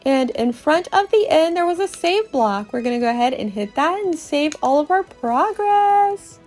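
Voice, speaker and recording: A woman's voice: high voice, expressive, normal speed, darkish tone, soft background music. A woman hosting and narrating a dramatic read-through of a video game. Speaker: podcast host and narrator.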